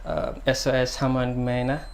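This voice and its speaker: A young man from the Gambia, a singer-songwriter, answering interview questions softly and hesitantly, with many pauses and ums. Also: mid-range voice, completely flat delivery.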